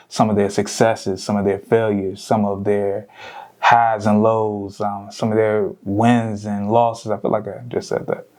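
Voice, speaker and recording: A man hosting an interview show, chatting casually and hyping up his guest, with quick bursts and slow stressed phrases. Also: on the low side, a little mellow.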